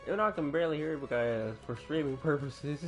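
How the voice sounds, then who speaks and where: A man's voice: fairly high pitch, quick pace. A man casually commenting while streaming a game, talking to himself in a laid-back way. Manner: laid-back, talks to himself, hums along. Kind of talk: casual live gameplay commentary. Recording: gameplay stream with faint game music.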